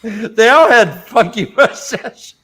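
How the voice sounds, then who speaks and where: A man's voice: very high voice, expressive, takes it slowly, fairly bright tone, slightly rough. A man co-hosting a casual book-discussion podcast, joking around and laughing often. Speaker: podcast co-host.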